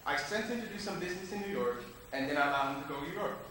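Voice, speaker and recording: A man playing a kindly elderly gentleman in a stage musical. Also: on the high side, racing, noisy background, lightly acted. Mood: kindly, informative. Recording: echoey hall, distant mic.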